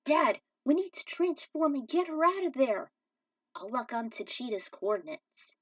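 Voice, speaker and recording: A woman's voice: sits high, sing-song, normal speed, no echo, pitched above the performer's own voice. A woman voicing an earnest young male hero in a story, speaking urgently and emphatically. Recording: dull, distant microphone.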